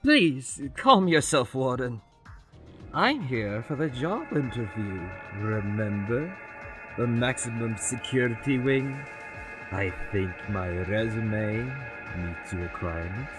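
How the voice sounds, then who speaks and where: A man's voice: mid-range voice, expressive, slow, music in the background. A man playing an arrogant, scheming villainous scientist in a comic dub, speaking in precise, wordy, pompous diction. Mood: smooth, deceitful. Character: arrogant, calculating villainous male doctor scientist, theatrical schemer.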